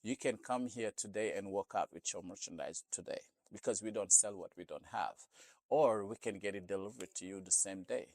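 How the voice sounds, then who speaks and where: Store owner interviewee: man with a Senegalese accent, non-native English, low-pitched, conversational, close dry sound. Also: fairly quick, darkish tone, no room sound. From Senegal, West Africa. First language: not English. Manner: conversational, often adds "you know". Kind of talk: casual interview answers.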